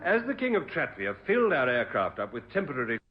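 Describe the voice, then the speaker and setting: An actor playing a dry, urbane government official in a radio sitcom, on a muffled, noisy old recording. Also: male voice, mid-range voice, wildly swooping pitch, fast. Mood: dryly amused.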